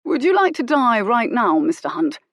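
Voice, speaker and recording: A woman voicing a gentleman hero who threatens with glacial politeness. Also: fairly high pitch, sing-song, normal speed, dry recording, pitched above the performer's own voice. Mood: icy menace. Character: cold, menacing young gentleman hero, icily polite.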